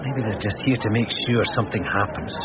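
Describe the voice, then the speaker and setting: Actor as wry detective sergeant, muffled sound. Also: male voice, on the low side, fast, lightly acted. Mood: calm, wry. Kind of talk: radio drama acting. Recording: muffled radio drama, crowd behind.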